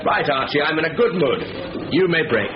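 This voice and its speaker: An actor playing a cool, sly, confident bank thief with playful patter in a 1970s radio drama. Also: male voice, fairly high pitch, expressive, a little fast, close to an everyday voice. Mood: gloating. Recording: muffled, hissy old radio broadcast recording.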